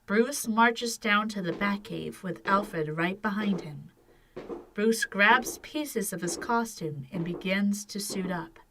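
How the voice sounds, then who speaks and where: A woman's voice: medium pitch, takes it slowly, noisy background. A woman narrating an audio drama from a script as its storyteller.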